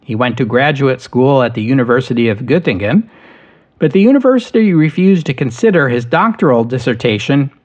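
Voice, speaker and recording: A man tells history in a measured, steady way, reading from a prepared script at a middling pitch. The recording sounds slightly dull and lo-fi. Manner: measured, steady storytelling delivery. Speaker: history podcast host.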